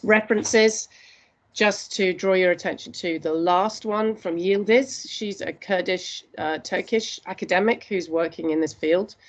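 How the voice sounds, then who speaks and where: A woman presenting her postgraduate dissertation research in an earnest, steady academic talk, with frequent hesitations. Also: mid-range voice, measured pace.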